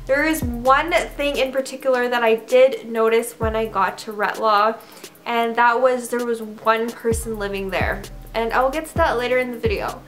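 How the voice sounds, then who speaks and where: A young woman from Canada, her voice sitting a little high, chats straight to camera from her bedroom, peppering her story with "like" and "I don't know". Soft music plays faintly underneath. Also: steady tone, moderate pace. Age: young adult. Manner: chatty, full of "like" and "I don't know". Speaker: vlogger. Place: bedroom, indoors.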